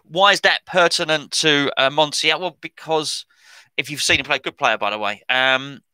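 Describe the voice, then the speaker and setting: A middle-aged man hosts a casual football podcast, rambling and correcting himself as he goes. His voice is bright and cutting and sits a little above the usual pitch for a man. The recording is dry, with no room sound. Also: moderate pace, clear, resonant tone, dry recording. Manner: chatty, rambling, self-correcting. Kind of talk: casual commentary on transfer news.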